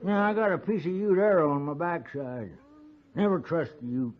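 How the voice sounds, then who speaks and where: An actor playing a wheedling, boozy frontier husband with a rambling, stammering American drawl, in 1950s radio Western style. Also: male voice, on the high side, normal speed, natural voice. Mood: drunken, aggrieved. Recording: muffled 1950s radio recording.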